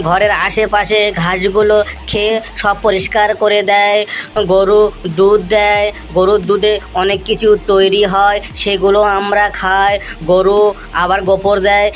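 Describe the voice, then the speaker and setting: A woman's voice: mid-range voice, level tone, normal speed, lightly acted. Cocky simple village schoolkid played by a woman. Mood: earnest reciting. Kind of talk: amateur cartoon comedy dubbing.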